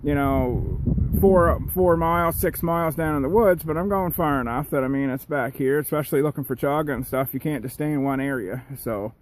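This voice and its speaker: Higher-voiced man vlogging over outdoor noise. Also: brisk, hardly any pauses. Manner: folksy, conversational, keeps addressing viewers as guys. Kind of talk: casual rambling vlog to camera. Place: outdoors in rural woodland. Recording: noisy outdoor recording with ambient rumble.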